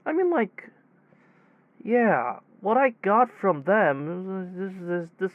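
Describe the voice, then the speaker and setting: A man playing a weary, exasperated technician who tells his bizarre tale in a rambling, halting, conversational audio drama monologue. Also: high voice, no echo, narrow, tinny audio, heavily acted. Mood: weary, puzzled. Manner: rambling, halting, animated storytelling with sighs. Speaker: voice actor in a self-written audio drama.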